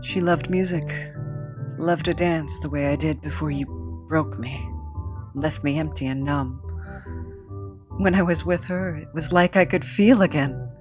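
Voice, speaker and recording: An actress playing a haunted, awkward, world-weary wanderer with a halting, hesitant delivery in an audio drama. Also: female voice, low voice, over music. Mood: wistful.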